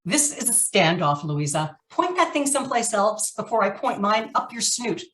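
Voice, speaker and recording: Tough, menacing gun-toting gangster played by a woman, old-time radio crime style, animated pitch. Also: normal speed, slight background noise. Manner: menacing, slangy tough talk.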